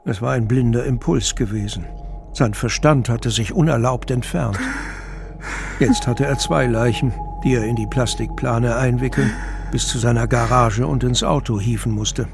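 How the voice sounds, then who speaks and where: A man's voice: mid-range voice, moderate pace, vocal fry. A man narrating a scripted, dramatic audiobook as a storyteller. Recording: music bed under narration.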